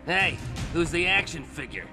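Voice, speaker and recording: An actor voicing a cocky raccoon space mercenary in a video game, brash, sarcastic and irreverent. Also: male voice, on the high side, sing-song, normal speed, music in the background. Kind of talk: video game cutscene acting.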